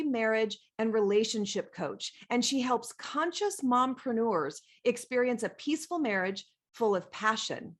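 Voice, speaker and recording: A middle-aged woman hosting an interview podcast, speaking in an effusive, emphatic and enthusiastic way. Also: fairly high pitch. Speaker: podcast host.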